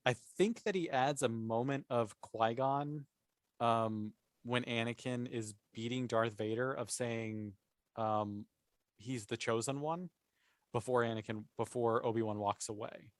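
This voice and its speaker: A man's voice: mid-range voice, a little slow, no echo. A man talking casually and with emphatic enthusiasm as a panelist in a fan discussion podcast.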